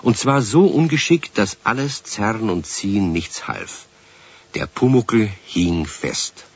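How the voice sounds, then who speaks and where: A man narrating a children's radio play as a calm, expressive storyteller, taking his time. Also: fairly low pitch, slow pace. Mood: storytelling. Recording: slightly dull vintage radio recording.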